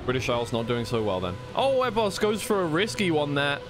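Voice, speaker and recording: A man's voice: a little high, big pitch swings, brisk, noisy background. A man doing live racing game commentary as a caster, mixing play-by-play with informal chat and thinking aloud. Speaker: esports caster on a co-stream. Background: racing game car sounds.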